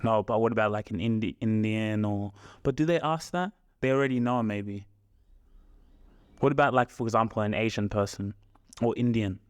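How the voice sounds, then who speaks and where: A man's voice: lowish voice, normal speed, clean tone, no echo, clean studio sound. A young man chatting casually on a podcast, questioning and thinking aloud with examples. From Australia. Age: young adult. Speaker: podcast co-host. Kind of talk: casual conversational podcast chat.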